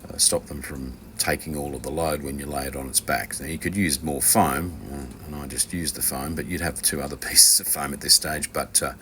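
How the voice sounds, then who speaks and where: An extremely low-pitched man with a bright edge to his voice talks through a hands-on task as an instructor. His delivery is informal and unscripted, wandering and hesitant, with dry asides. Faint noise sits behind him. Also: steady tone, normal speed, few pauses. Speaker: instructor from a loudspeaker kit maker.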